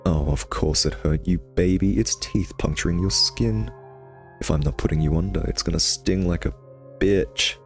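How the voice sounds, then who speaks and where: A man's voice: deep voice, moderate pace, soft background music. A man playing a cocky, sardonic vampire nemesis who teases and taunts the listener in an intimate ASMR roleplay. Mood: needling, exasperated.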